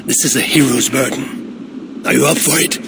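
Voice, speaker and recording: Actor as a stern, commanding Viking warrior. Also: male voice, mid-range voice, steady tone, creaky, noisy background, close to an everyday voice. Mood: grave, protective. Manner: measured, commanding. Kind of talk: dramatic video game acting.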